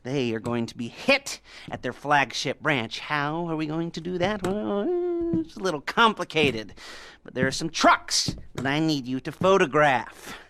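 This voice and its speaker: A snarky nerdy heist planner, bright edgy voice, lots of chuckles and "hmm?", dry close sound. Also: male voice, fairly high pitch, animated, measured pace, clean tone, no room sound, heavily acted. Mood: sardonic. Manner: wheezy chuckles, sarcastic rhetorical asides. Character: sardonic nerdy male heist mastermind.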